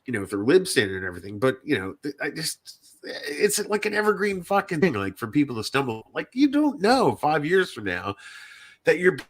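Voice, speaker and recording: A man's voice: on the high side, huge pitch swings, normal speed. A man hosting a podcast in casual chat, talking in a rambling, repetitive, sweary and self-deprecating way. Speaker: podcast host and indie fiction writer.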